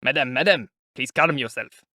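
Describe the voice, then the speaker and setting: A man voicing a middle-aged civil servant who speaks calmly and mutters in an audiobook. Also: moderate pace, no echo, clean studio sound. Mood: soothing. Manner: soothing, then low muttering.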